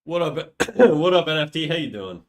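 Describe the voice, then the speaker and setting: A man's voice: on the high side, very animated, normal speed. A young man with a cold, sounding stuffy and nasal, chatting casually and swearing on a livestream. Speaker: streamer. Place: home room.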